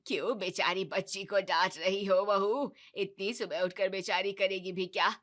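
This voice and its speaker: A woman voicing a stern, sly elderly grandmother-in-law in a cartoon story, with a low, aged voice. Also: fairly even intonation, moderate pace, fairly bright tone, close to an everyday voice. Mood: indulgent.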